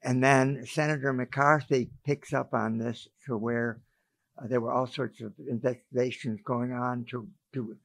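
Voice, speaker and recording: A man in his eighties, a guest, explaining history calmly in a group conversation. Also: mid-range voice, gravelly, dry recording. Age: 80s. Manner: measured, explanatory. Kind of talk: recounting history in a group chat.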